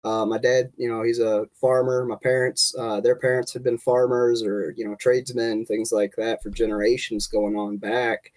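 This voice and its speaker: A man's voice: mid-range voice, moderate pace, hardly any pauses, low-quality recording. A man with a stuffy, congested voice, telling his story as a podcast guest. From Kentucky. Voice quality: nasal from congestion, relaxed rambling delivery. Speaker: guest, state party chair. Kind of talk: casual podcast interview.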